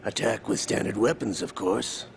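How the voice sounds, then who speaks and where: Deep, very gravelly sinister henchman. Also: moderate pace, a little hiss or hum. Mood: sly, scheming. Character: sly, sinister male henchman of a villain, theatrical and menacing. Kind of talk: dramatic video game cutscene acting.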